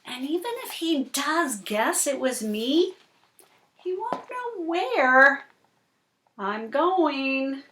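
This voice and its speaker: A woman voicing a small, boastful fish thief in a picture book, confiding in a deliberate storybook delivery. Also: sits high, big pitch swings, noisy background, voice pushed up, full character voice. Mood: self-assured. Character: small sneaky fish, boastful, self-assured hat thief. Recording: roomy, echoing indoor sound.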